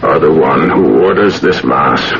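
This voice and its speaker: An actor playing a solemn renegade priest in a radio drama, questioning as if in a ritual. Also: male voice, extremely low pitch, unhurried, vocal fry, full character voice. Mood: solemn, sinister. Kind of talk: 1970s radio drama acting. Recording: muffled, noisy old radio recording.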